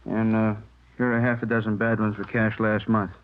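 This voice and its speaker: An American man playing a methodical police handwriting expert, explaining haltingly and checking that he is followed, in 1950s radio drama style. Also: on the low side, flat delivery, slight background noise, close to an everyday voice. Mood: absorbed, matter-of-fact. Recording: tinny old radio recording.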